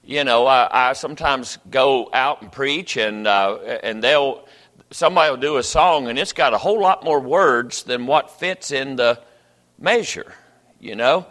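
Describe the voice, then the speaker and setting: A man's voice: mid-range voice, a little slow, a bit bright, long reverb tail. An older man, a pastor telling stories from the pulpit in a folksy, rambling way with many restarts. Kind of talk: sermon. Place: church sanctuary.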